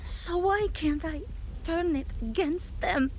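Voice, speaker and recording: A woman playing a strained but stubbornly defiant young fighter in a video game, halting and intense. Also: sits high, lively intonation, darkish tone, slight background noise, low-quality recording. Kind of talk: dramatic game voice acting. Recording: music behind the voice.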